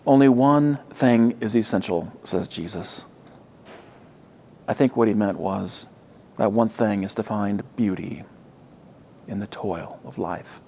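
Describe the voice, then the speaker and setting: A man preaching a reflective sermon as a pastor, with measured, deliberate phrasing and emphatic pauses. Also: lowish voice, mellow, slight background noise.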